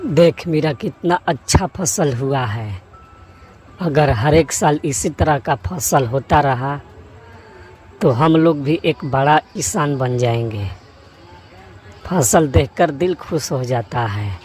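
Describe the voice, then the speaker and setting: A man's voice: fairly high pitch, expressive, takes it slowly, darkish tone. A man playing a hardworking, honest village farmer in a cartoon story, speaking plainly and earnestly. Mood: pleased, hopeful. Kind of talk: cartoon moral-story character acting.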